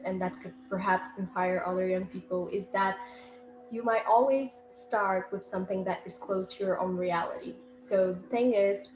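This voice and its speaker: A woman of twenty-one from Brazil with a Brazilian accent, giving an informal presentation in a halting way as she searches for words. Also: medium pitch. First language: Portuguese. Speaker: poet and student speaker. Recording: echoey room with loud background noise.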